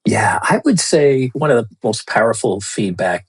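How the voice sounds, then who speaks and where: Casting note: male interview guest, husky and slightly breathy, talks loosely with plenty of "uh"s and restarts. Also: lowish voice, very animated, moderate pace. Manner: loose, with many fillers and restarts. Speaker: guest, storytelling lead at a space lab. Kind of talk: conversational interview answers.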